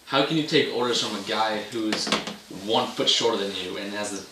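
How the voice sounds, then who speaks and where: A man's voice: lowish voice, normal speed, bright, forceful tone. A young man playing a chummy, bragging old buddy with a hearty, casual delivery in an amateur stage play. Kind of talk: amateur one-act stage play dialogue. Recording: echoey room, distant mic, noticeable noise.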